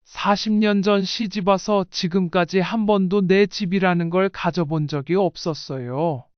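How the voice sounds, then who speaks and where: A woman's voice: on the low side, steady tone, measured pace, clear, resonant tone, no room sound, highs cut off. A woman reading a scripted first-person life story in audiobook style. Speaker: narrator.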